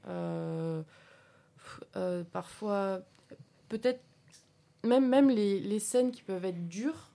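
A woman's voice: mid-range voice, takes it slowly, creaky, long pauses, dark tone. A woman reviewing a film in a casual radio chat, hesitant and full of fillers.

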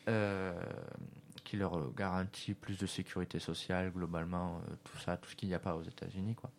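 Low-voiced man hosting a radio show. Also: fairly quick. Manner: relaxed, talks straight to listeners. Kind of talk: casual radio chat.

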